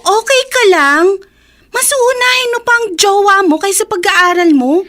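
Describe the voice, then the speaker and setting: A woman's voice: very high-pitched, expressive, measured pace, fairly bright tone, exaggerated voice. An actress with a Filipino accent playing a blunt, sassy teenage schoolgirl, exasperated and sarcastic, in a radio drama. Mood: scolding. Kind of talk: Tagalog radio drama acting.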